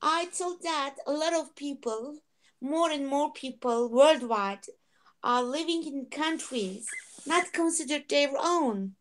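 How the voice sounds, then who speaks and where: A woman's voice: sits high, poor audio. A woman learning English summarizing a talk, choosing her words carefully in a discussion group. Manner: careful, deliberate speech of a non-native learner.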